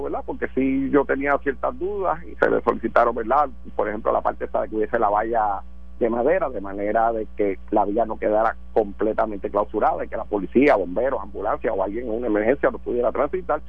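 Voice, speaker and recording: A man's voice: mid-range voice, brisk, creaky, husky, no room sound. A man, a government transportation secretary explaining policy on a radio phone interview, with many fillers. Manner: explanatory, full of verbal fillers. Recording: tinny dry phone line.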